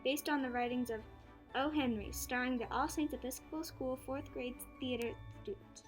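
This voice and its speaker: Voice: sits high, steady tone, moderate pace, lightly acted. A child of about ten reading scripted narration as the storyteller of a school radio play. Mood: presenting. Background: faint music.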